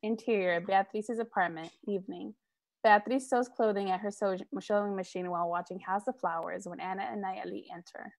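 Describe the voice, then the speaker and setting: A woman's voice: mid-range voice, steady tone, a little fast, gravelly, no echo. A young adult woman narrating screenplay stage directions at a live table read from home. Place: living room at home, on a video call.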